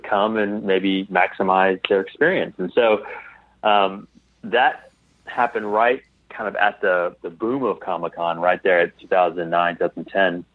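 A man as an interview guest, telling anecdotes casually and haltingly over a tinny remote call. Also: low voice, fairly even intonation, no echo. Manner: halting, repeats phrases as he thinks aloud.

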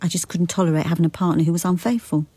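An actress playing a frank, resolute detective inspector in a radio drama, reflective and plain-spoken, with an English accent. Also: female voice, fairly low pitch, steady tone, racing, dark tone, slightly rough, dry recording. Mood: reflective, firm. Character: frank, resolute working-class-raised female detective inspector. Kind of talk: radio drama, intimate first-person monologue and dialogue.

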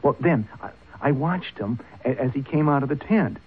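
An actor playing a cowardly, whimpering young son, stammering and nervous, in old-time radio drama style. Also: male voice, medium pitch, lively intonation, normal speed, breathy edge. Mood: agitated, defensive. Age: young adult. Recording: muffled phone-like old radio recording.

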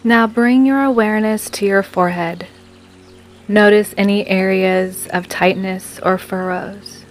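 Female meditation guide, medium pitch, very unhurried soothing scripted read over music. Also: fairly even intonation. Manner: slow, soothing, measured delivery with long pauses. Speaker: meditation guide and podcast host.

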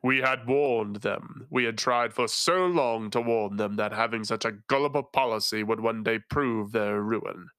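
A man voicing a solemn, tentacled male illusionist knight with a resonant voice and a slow, grave delivery. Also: fairly low pitch, a bit bright, clear, resonant tone, no room sound.

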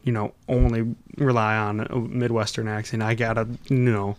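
A man's voice: on the low side, little pitch movement, smooth. A young man with a Wisconsin accent, co-hosting a podcast in casual, rambling chat, often restarting his thoughts. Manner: rambling, self-correcting, quick to laugh. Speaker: podcast co-host.

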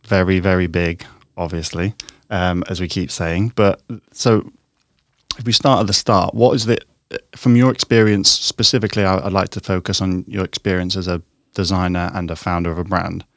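A man hosting a podcast, asking long, thoughtful questions in a measured, meandering way. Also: low-pitched, steady tone, fairly bright tone, no room sound, clean studio sound. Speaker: podcast host. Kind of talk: podcast interview.

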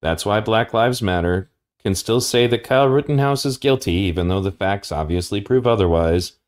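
A man's voice: lowish voice, moderate pace. A man delivering firm, assertive political commentary in a podcast clip.

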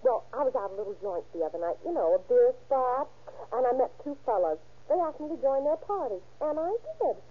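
Casting: nervous, giggly informant from a beer joint, high husky voice, tinny vintage radio crime drama. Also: female voice, lively intonation, brisk. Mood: confiding. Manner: chatty, hesitant, slangy, with little nervous laughs. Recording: muffled, tinny old-time radio recording.

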